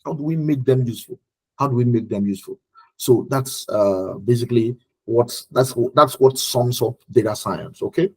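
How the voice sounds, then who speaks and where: A man with a Nigerian accent and a husky voice teaching an online class informally, repeating phrases for emphasis and checking in with listeners. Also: medium pitch, normal speed, a little mellow, poor audio. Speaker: teacher leading an online study group. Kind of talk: informal live lecture with check-in questions.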